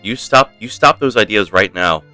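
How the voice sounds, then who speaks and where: A man's voice: fairly low pitch, lively intonation, normal speed, no room sound. A man streaming a game with casual, playful, rambling commentary for his chat. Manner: rambling, playful, mock-stern. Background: soft game music.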